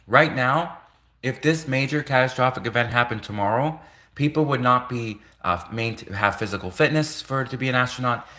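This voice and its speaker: A man whose native language is Russian hosts a casual livestream. His voice is bright and forceful at an ordinary male pitch. He talks earnestly and at length, rambling and circling back to repeat his phrases. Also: normal speed. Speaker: livestream host.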